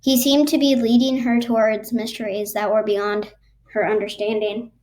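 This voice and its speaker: A woman reading a children's book aloud haltingly, stumbling over and repeating words. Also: fairly high pitch, little pitch movement, darkish tone. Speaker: storybook reader.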